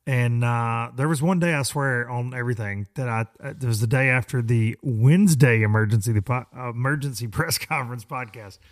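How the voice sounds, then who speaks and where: A hoarse man with a drawl hosts a podcast. He talks at a middle pitch in a loose, folksy ramble, full of restarts. He often pokes fun at himself. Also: brisk, hardly any pauses. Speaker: podcast host. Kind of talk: casual rambling monologue.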